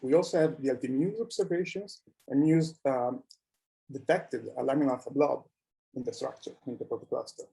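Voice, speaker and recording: A man presenting research in a scientific conference talk, with a halting delivery that often repeats words. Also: medium pitch, vocal fry, mellow, rough recording.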